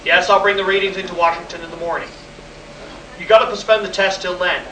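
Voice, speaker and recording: Bright, high-voiced air base boss under pressure played by a man, sci-fi radio play, echoey distant recording. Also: level tone, fast. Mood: grave, pleading. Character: Hank Hanson, urgent air base project chief under pressure. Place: live convention performance, recorded from the room. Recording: echoey distant room recording with faint noise.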